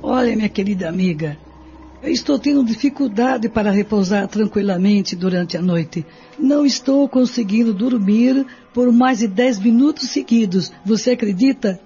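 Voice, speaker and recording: Woman doing a worn-out anxious wife in a radio play, raspy n dark, kinda muffled. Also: medium pitch, normal speed. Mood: weary, troubled. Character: troubled, sleepless married woman, weary and anxious. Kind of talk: radio drama acting. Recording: dull lo-fi radio play recording.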